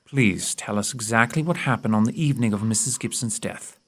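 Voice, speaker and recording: An actor playing a brisk, confident gentleman detective with courteous, probing, deliberate phrasing in an audio theatre production. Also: lowish voice, husky.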